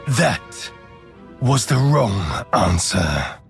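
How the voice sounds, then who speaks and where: An actor playing an arrogant, power-hungry Sith lord in a video game, speaking in a formal, imperious and deliberate manner. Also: male voice, lowish voice, big pitch swings, creaky, faint music behind, full character voice. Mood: menacing. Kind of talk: dramatic video game voice acting.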